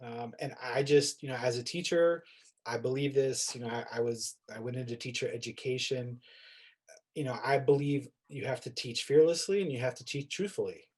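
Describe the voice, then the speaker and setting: A man's voice: mid-range voice, moderate pace, darkish tone. A man who teaches, talking casually as a panel guest, informal and thinking aloud. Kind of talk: casual, unscripted panel talk.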